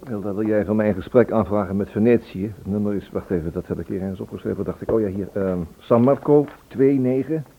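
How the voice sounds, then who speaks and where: An actor in a Dutch radio play, playing a worried, impatient British police inspector who speaks curtly. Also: male voice, lowish voice, fast talker, hardly any pauses. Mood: worried, businesslike. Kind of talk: Dutch radio drama acting. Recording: muffled lo-fi old radio recording.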